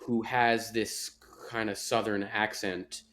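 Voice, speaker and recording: A male host asking animated questions in a casual interview and reading a sponsor message. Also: on the low side, takes it slowly, roomy sound. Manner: animated, upbeat.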